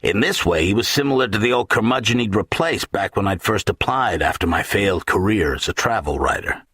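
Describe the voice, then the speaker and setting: A man with a low, husky voice reads fiction in a wry first-person style. His delivery is animated, and the recording is dry. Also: brisk, no echo. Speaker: audiobook narrator.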